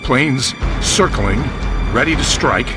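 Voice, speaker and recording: A man's voice: medium pitch, animated, slow, over loud music. An actor playing a male news reporter giving a dramatic eyewitness account in short phrases for a radio drama. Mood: tense, building.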